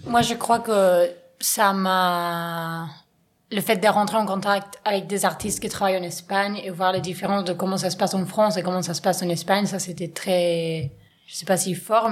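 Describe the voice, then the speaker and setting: A woman's voice: fairly low pitch, steady tone, moderate pace. Argentine woman, thoughtful and searching. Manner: searching, restarts her phrases. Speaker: interpreter. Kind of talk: casual interview answers.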